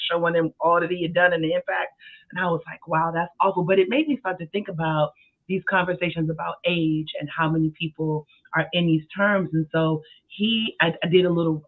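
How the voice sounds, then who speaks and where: A man in his forties, a podcast co-host, talking earnestly and thinking aloud in a casual discussion. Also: a little high. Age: 40s. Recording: tinny phone-like sound.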